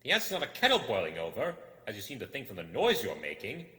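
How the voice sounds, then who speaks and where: A man voicing an anxious but quick-witted little hobbit in a storybook reading, speaking out loudly and emphatically. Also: lowish voice, huge pitch swings, heavily acted. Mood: impatient. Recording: cavernous hall reverb.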